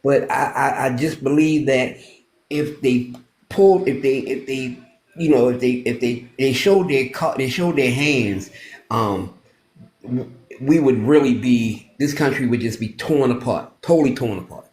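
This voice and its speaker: Caller, man in his late fifties, halting speech, roomy sound. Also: medium pitch, darkish tone. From Maryland, USA. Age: 50s. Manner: halting, repetitive, with many restarts. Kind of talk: casual live-stream chat.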